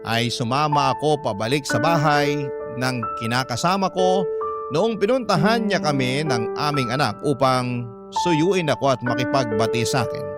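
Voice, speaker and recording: A man reading a letter as a drama narrator, in a measured, sentimental, scripted manner. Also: mid-range voice, loud music behind the voice.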